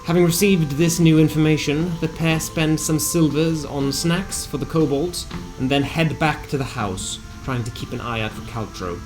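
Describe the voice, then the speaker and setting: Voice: mid-range voice, normal speed, hardly any pauses, a little mellow. A narrator reading a scripted recap of a tabletop fantasy game in a theatrical storytelling manner with wry asides. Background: music.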